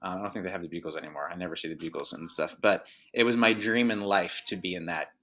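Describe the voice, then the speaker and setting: Bright-toned man chatting about his youth. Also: low voice, fast. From Northern Virginia, US. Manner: rambling, many "like" fillers and restarts. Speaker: guest drummer. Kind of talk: casual interview chat.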